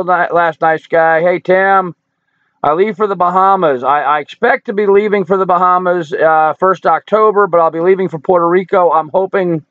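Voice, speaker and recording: Older male live-stream host, hoarse and folksy, dry lo-fi sound, slightly high voice. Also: no room sound. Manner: chatty, greets viewers by name, folksy. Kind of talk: casual live chat reading viewer comments. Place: home in rural Alaska.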